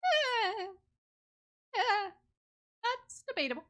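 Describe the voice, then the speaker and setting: Woman, game streamer, very lively intonation, unhurried pace, casual commentary, giggly, excitable. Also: very high voice. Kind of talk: casual live gaming commentary.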